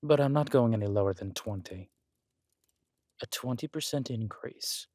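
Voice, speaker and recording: A man playing a scheming, aristocratic villain husband in an intimate roleplay audio drama, suave and deliberate, slipping into breathy near-whispers. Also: mid-range voice, a little mellow, no echo, clean studio sound, lightly acted. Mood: calculating. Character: smooth, scheming villain husband, usurper of a kingdom.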